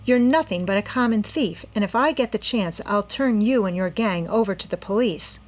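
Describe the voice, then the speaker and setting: A woman's voice: medium pitch, normal speed, close to an everyday voice. A brave teenage girl detective answers back with defiance in a storybook reading, voiced by a woman. Her voice lifts above the narration. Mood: defiant. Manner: defiant, crying out.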